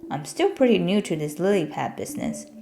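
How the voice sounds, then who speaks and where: A timid storybook frog, acted out by a woman. He is new to things, nervous and easily awed. Music plays softly behind him. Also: lowish voice, very animated, brisk, vocal fry.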